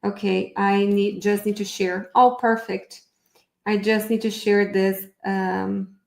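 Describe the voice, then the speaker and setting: A woman's voice: mid-range voice, roomy sound. A middle-aged woman with a Brazilian accent hosting a live online class, chatty and upbeat, thinking aloud as she shares her screen. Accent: Brazilian Portuguese. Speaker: therapy educator hosting a live webinar. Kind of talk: casual live online presentation.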